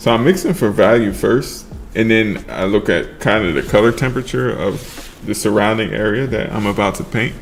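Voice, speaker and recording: A man casually chatting on a livestream while he paints, relaxed and slurring his words together, trailing off mid-sentence. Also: lowish voice, moderate pace, vocal fry, hardly any pauses, slight background noise. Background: faint lo-fi background music.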